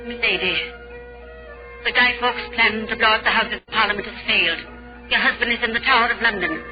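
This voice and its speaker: An actor playing an alarmed messenger bearing dreadful news in melodramatic old radio style. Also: male voice, sits high, brisk, natural voice. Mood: grave, dramatic. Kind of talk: 1940s radio acting. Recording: dull old radio recording, music behind.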